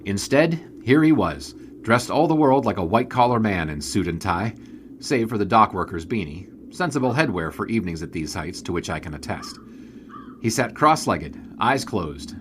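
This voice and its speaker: A dry, wry sports writer. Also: male voice, on the low side, noisy background. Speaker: narrator and sports writer Orville Mulligan. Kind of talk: audio drama narration and acting.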